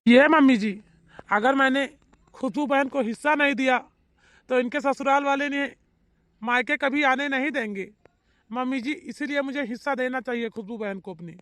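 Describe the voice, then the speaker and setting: A high-pitched devoted brother acted by a man in a family cartoon, recorded dry. He speaks with earnest, emphatic reassurance, gentle and self-sacrificing in a melodramatic style. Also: normal speed, dry recording. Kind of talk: melodramatic Hindi cartoon family drama voice acting.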